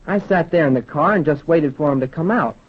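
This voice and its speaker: An actor playing an eager, polite schoolboy son in a 1930s radio drama, with an earnest boyish manner. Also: male voice, fairly high pitch, brisk, breathy edge. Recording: dull, worn 1930s radio recording.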